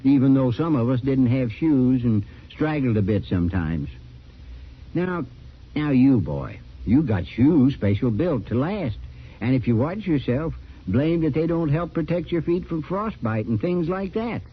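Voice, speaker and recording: An actor playing a crusty, excitable old frontier man with folksy rustic phrasing, in an old-time radio drama. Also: mid-range voice, unhurried, husky. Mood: folksy, earnest. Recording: dull old radio recording.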